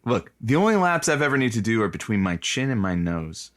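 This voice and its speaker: Smug, animated womanizer. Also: lowish voice, brisk. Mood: cocky. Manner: cocky, innuendo-laden banter. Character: smug, flirty, unrepentant womanizing man. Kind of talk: comedy radio banter.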